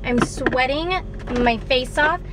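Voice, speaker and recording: A woman in her twenties with a raspy voice from a fading cold, chatting casually to the camera from inside her car, rambling with lots of fillers. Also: sits high, slow. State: raspy voice while getting over an illness. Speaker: vlogger. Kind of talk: casual vlog chat. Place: inside a car at a drive-thru. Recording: car noise in the background.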